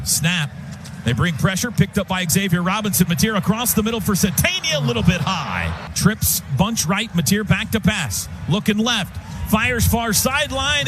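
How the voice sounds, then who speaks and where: A man calling live football on the radio as play-by-play announcer, in clipped short phrases with excitable shouts on big plays. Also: high voice, background noise. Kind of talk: live sports play-by-play commentary. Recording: loud music bed under radio broadcast.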